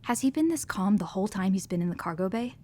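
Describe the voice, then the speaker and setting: A woman's voice: medium pitch, expressive, fast talker, mellow, slight background noise, lightly acted. A woman playing a laid-back, wry young crewmate on a space station, speaking casually in a sci-fi audio drama. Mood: quiet, thoughtful. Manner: casual, understated.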